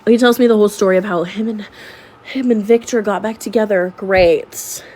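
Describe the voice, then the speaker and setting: A young woman sounds stuffed-up from a cold. She tells her story in an animated, sarcastic way and leans into the drama. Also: mid-range voice, moderate pace. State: sick, congested. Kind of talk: storytime vlog.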